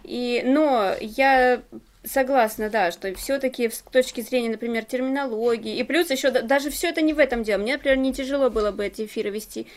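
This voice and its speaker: A woman's voice: fairly high pitch, brisk. A woman who teaches, co-hosting an online show, talking casually and hesitantly with fillers over a call microphone. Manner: hesitant, fillers, firm when interrupted. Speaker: co-host and language teacher. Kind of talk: casual panel discussion.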